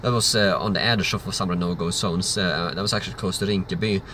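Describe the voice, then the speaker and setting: A man's voice: low voice, fairly even intonation, fast, pushed and bright, a little hiss or hum. A man, hosting a livestream Q&A and answering chat questions in a loose, rambling way. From Sweden. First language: Swedish. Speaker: livestream host.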